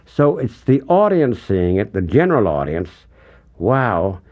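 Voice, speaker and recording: Animated older man, low voice. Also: a little slow, dull audio. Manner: animated storyteller, acts out quotes, pitch jumps up for emphasis. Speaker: interviewer. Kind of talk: conversational interview.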